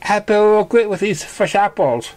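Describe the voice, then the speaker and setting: Man doing a high, bubbly girl Pegasus pony. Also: lively intonation, moderate pace, darkish tone. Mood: excited. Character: bubbly, silly young female Pegasus pony, eager and innocent.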